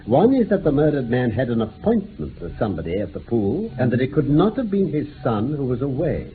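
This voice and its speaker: A man playing a brisk, keen-witted detective in a radio drama, with a British accent and a clipped, commanding delivery. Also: mid-range voice. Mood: emphatic. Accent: British English. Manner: clipped, commanding, theatrically animated. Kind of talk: old-time radio drama acting. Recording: tinny, band-limited old radio, faint noise.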